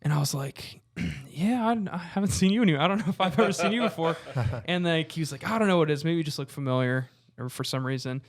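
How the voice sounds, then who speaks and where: A man's voice: fairly high pitch, quick pace, hardly any pauses, no echo. Casual man with a dry, close sound. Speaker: podcast co-host. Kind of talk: casual conversational storytelling.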